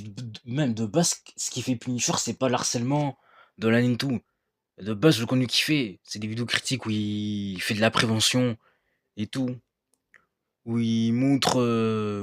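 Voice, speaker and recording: A man's voice: medium pitch, no echo, studio quality. A man hosting a reaction video, chatting casually, lecturing his viewers and leaning on fillers.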